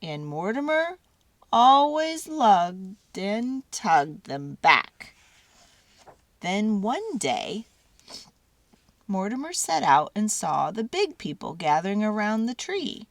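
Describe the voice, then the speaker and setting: Very animated, unhurried woman storyteller. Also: medium pitch, no echo. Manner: theatrical, deliberate phrasing with drawn-out words. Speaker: children's storyteller. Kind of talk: dramatic storybook read-aloud.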